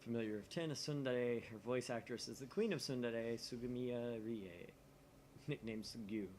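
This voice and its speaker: A man's voice: mid-range voice, normal speed. A man narrating a visual novel aloud expressively, dropping in casual asides. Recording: steady faint background noise.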